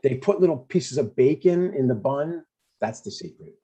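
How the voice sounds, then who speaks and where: A man's voice: mid-range voice, lively intonation, moderate pace, dark tone, breathy edge. An older man playing a blunt, stubborn but affectionate father in a comedy table read, over dull stream audio. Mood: casual, stubborn. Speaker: amateur actor.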